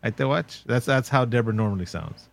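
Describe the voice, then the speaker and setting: A man's voice: mid-range voice, lively intonation, brisk, vocal fry. A man co-hosting a podcast, joking and often stammering on restarts. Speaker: podcast co-host. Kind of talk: casual podcast chat.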